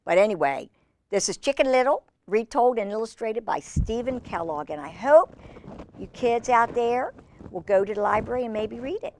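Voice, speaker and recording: A woman with a very animated, swooping delivery hosts a storytime for children. She reads picture books aloud and slips into chatty, gushing asides, speaking fondly to her young listeners. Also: on the high side, normal speed, slight background noise. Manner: gushing, expressive, exclaiming over pictures.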